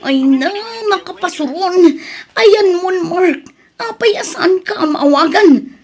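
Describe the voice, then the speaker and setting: A woman playing a sharp, reproachful young wife who scolds her husband in an audio drama. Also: extremely high pitch, expressive, unhurried, full character voice. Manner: nagging, scolding.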